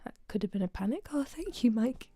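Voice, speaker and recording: A woman chatting casually between songs on her home livestream concert, soft-spoken and a little nasal from a blocked nose, often slipping into quiet whispered asides. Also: on the high side, sing-song, a little fast. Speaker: singer-songwriter performing a livestream concert. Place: small cosy home corner. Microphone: home livestream setup.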